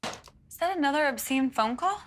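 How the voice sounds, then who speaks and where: A woman acting as a calm, practical wife and mother in a naturalistic film. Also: high voice, moderate pace, slight background noise. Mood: concerned.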